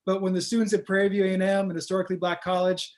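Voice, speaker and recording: High-voiced man giving rallying answers. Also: very fast. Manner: energetic, rallying, stump-speech delivery. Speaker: congressional candidate. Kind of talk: interview answers. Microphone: video call.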